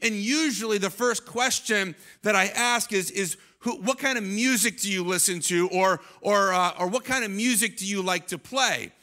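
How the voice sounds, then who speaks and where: A man in his thirties preaching a sermon on a church stage into a handheld mic, animated and often restarting his phrases. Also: high voice, moderate pace, hardly any pauses. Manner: animated, gestures while preaching, many restarts. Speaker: pastor. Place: large church stage, indoors. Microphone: handheld microphone.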